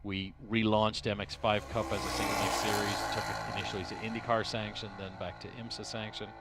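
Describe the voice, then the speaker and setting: A middle-aged man from Dublin with an Irish accent, talking as an interview guest at a race track, pausing often and restarting his sentences. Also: fairly low pitch, level tone, creaky. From Ireland. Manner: hesitant, many restarts and fillers. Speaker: interview guest, racing team executive. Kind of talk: casual interview. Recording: faint noise at a race track.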